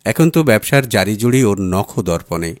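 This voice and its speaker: Low-voiced man narrating, very expressive pitch. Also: moderate pace, no echo, clean studio sound. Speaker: audiobook story reader. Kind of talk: dramatised literary story narration. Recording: music playing behind the voice. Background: music bed.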